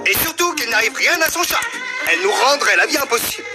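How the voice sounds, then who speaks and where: An actor playing a genial, animated family father in a cartoon, with loud music underneath. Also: very high-pitched, quick pace. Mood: brisk. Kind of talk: cartoon dub acting.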